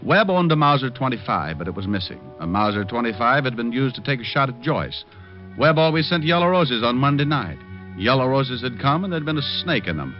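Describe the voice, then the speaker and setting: An actor playing a brisk, wry, tough male private detective in an old-time radio crime drama, with a clipped hardboiled delivery. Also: mid-range voice, lightly acted. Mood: hardboiled, brooding. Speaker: lead actor and narrator. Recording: dull old radio recording, music behind.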